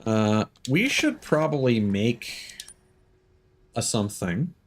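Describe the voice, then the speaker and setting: A man's voice: medium pitch, big pitch swings, slow pace. A man giving casual live gameplay commentary as a streamer, thinking aloud as he plays. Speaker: game streamer.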